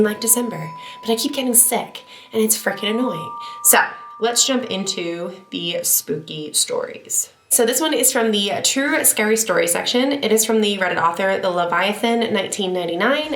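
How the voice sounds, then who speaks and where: A woman's voice: medium pitch, echoey room. Congested woman reading scary stories. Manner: chatty and self-deprecating in the intro, steady when reading. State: congested from a cold. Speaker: horror story reader.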